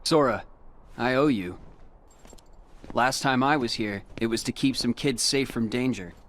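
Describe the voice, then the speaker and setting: A man's voice: medium pitch, a little slow, a bit bright, close to an everyday voice. An actor playing a reserved, brooding teenage boy hero in a video game, protective and self-blaming, in a cool, understated, serious manner. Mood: calm, friendly.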